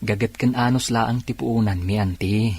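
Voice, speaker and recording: A man's voice: lowish voice, fairly even intonation, moderate pace, a little mellow, slightly rough, no echo. A man playing a humble, earnest nephew from a poor family in an Ilocano radio drama. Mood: humble, forgiving.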